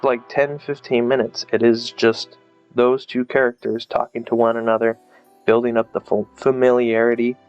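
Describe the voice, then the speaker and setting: A man giving a casual, unscripted review as a video creator, rambling and hesitant with many fillers. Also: mid-range voice. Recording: slightly dull, lo-fi voice track. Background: faint music.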